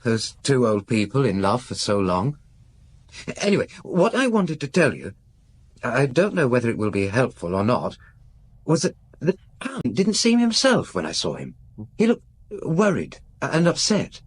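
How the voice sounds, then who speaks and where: A man's voice: mid-range voice, wildly swooping pitch, measured pace, breathy edge, heavily acted. A man playing an earnest, nervous young aristocrat in a radio drama, speaking politely and confidingly with hesitant restarts. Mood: earnest, troubled. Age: young adult.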